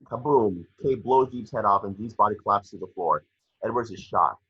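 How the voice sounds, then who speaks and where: Male narrator, low forceful voice, reading stage directions with small stumbles, dull dry lo-fi home recording. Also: normal speed, husky, no room sound. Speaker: narrator reading action descriptions. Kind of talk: scripted narration in a film table read.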